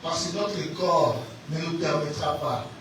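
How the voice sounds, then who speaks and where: A man's voice: on the high side, measured pace, a bit bright, slight background noise. A man with a Congolese accent preaching fervently, repeating phrases emphatically and prompting his listeners to respond. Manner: repetitive, emphatic, calls on listeners to answer. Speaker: preacher, pastor. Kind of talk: fervent, exhorting sermon. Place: church hall. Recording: very echoey church PA, distant, music behind.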